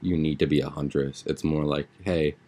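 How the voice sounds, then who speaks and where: Young guy podcast host, low voice, kinda rambly and slangy, just chatting. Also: fairly even intonation, normal speed, warm tone. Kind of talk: casual podcast chat, episode recap.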